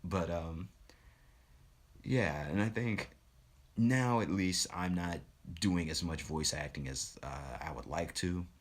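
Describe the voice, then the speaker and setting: A young man who does voice acting, telling a personal story in a relaxed, reflective vlog with lots of fillers. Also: deep voice, slow pace. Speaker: voice actor and streamer.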